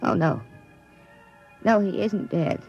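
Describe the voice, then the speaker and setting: An actress playing an anxious, emotional woman in a 1950s radio drama, with a breathy voice. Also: mid-range voice, takes it slowly, a little mellow, muffled recording, soft background music. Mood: terrified, pleading. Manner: emotional, pleading. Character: anxious woman confronted by a lovestruck robot.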